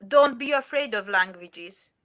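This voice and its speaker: A woman's voice: a little high, lively intonation, measured pace, fairly bright tone, dry recording. A Hungarian woman speaking English with a Hungarian accent, a mother answering interview questions hesitantly with many fillers. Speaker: mother, guest.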